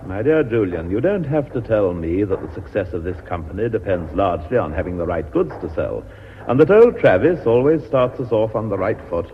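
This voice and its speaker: A man's voice: fairly low pitch, animated, brisk, lightly acted. A man plays a genial British chairman in a radio drama, polished and courteous. His voice rises and falls with animation, on a dull old recording with faint noise. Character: urbane, genial male company chairman.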